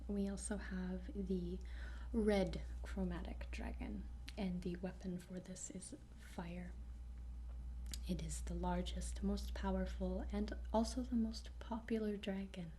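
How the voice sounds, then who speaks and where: A woman speaking in a soft, breathy whisper, gently and hesitantly explaining things in an improvised ASMR roleplay, with frequent pauses. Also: mid-range voice, fairly even intonation, slight background noise. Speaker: ASMR performer playing a dragon training guide.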